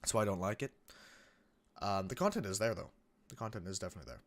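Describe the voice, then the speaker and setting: A young man hosting a gaming channel, chatting casually over gameplay in a rambling way with lots of restarts, his voice a bit croaky from coming down with a cold. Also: on the low side, lively intonation, fast talker. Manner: rambling, many restarts and fillers. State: getting sick, a bit croaky.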